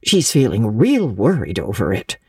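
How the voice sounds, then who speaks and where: Storybook village busybody, acted by a woman: older, brisk, emphatic, very animated, slightly husky, fond of admonishing. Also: rumbling low, no echo, studio quality, heavily acted. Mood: gossipy. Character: brisk, gossipy, bossy older village matron. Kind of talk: dramatic audiobook reading of classic novel.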